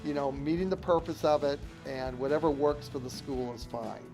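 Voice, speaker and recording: A man's voice: medium pitch, steady tone, normal speed, creaky, poor audio. A man in his sixties, a veteran school staff member, talking informally and reminiscing in an interview. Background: guitar music.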